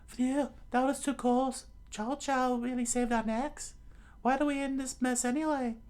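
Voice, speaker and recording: A man voicing a sharp-tongued, exasperated young woman pirate in a scolding falsetto for a read-aloud story. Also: flat delivery, measured pace, clean tone. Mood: weary.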